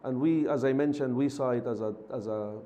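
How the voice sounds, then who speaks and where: A man who is a city mayor, speaking as a TV studio guest in measured, formal terms. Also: medium pitch, warm tone, lo-fi sound. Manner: measured, formal civic phrasing. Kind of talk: TV interview guest.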